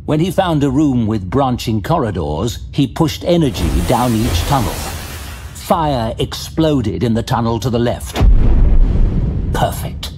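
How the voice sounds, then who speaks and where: A man giving scripted fantasy audio drama narration, measured and dramatic, recorded as a studio voice-over. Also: medium pitch, lively intonation, darkish tone, slight background noise. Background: faint music.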